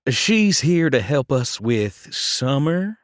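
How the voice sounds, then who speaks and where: A woman's voice: rumbling low, very animated, slow, dry recording, studio quality, faint music behind, voice pushed down, exaggerated voice. A woman voicing a fumbling, apologetic young churchgoer.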